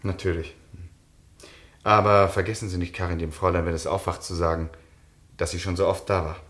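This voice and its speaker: An actor playing a restless aristocratic count in a German radio drama, speaking in a declamatory, impassioned way. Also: male voice, deep voice, little pitch movement, fairly quick, fairly bright tone. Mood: hesitant, polite. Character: restless aristocratic count breaking with his wife.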